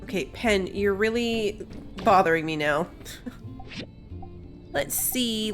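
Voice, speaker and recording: A woman giving casual let's play commentary, thinking aloud as she plays. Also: mid-range voice. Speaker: gaming video host. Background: game music.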